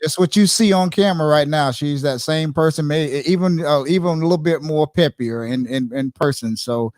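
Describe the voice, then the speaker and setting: A man's voice: a little high, fairly quick, darkish tone, dry recording, clean studio sound. A man hosting a podcast interview, speaking hesitantly with many fillers and restarts. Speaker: podcast host.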